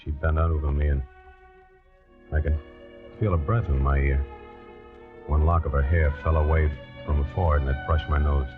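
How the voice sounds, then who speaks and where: A low-voiced American man, nervous and hopelessly smitten, in an old radio thriller. He is hesitant and confessional. The sound is dull and vintage, with prominent dramatic music behind him. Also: steady tone, moderate pace, pauses often. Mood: brooding confession. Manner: hesitant, stammering in dialogue, confessional when narrating. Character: meek, love-struck, nervous male accomplice and narrator. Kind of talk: old-time radio suspense acting.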